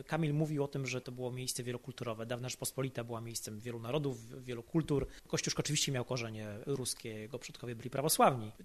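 Man explaining in a measured way. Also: mid-range voice. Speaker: researcher, podcast guest. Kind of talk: analytical podcast discussion.

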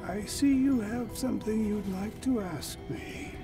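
A solemn android elder from a video game, with a gravelly, unhurried voice. He speaks formally and cryptically, with music playing behind him. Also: high-pitched, recorded from a distance. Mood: solemn, cryptic. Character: solemn old android leader revealing ancient truths. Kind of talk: cinematic video game dialogue.

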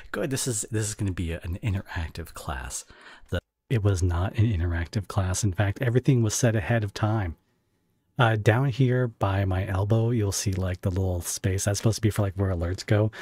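A man's voice: deep voice, a little mellow, slightly rough, no echo. A man streaming from home, chatting casually with viewers in a rambling, self-interrupting way and laughing often. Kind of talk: casual livestream chat with viewers.

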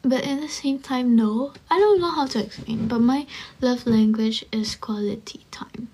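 A young woman in her twenties with a Malaysian accent, chatting softly into her phone on a livestream, often close to a whisper. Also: on the high side, moderate pace, husky. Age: 20s. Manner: soft, drowsy, often near a whisper. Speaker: livestream host reading chat. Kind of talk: casual livestream chat. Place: dim room indoors. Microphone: phone held close.

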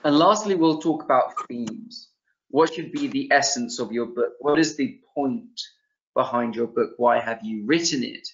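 A man's voice: medium pitch, measured pace, creaky. Young guy running a webinar over a video call, lots of ums and restarts, kinda muffled dull audio. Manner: loose, thinking aloud with many ums and restarts. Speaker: webinar presenter. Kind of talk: online teaching webinar. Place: small room indoors.

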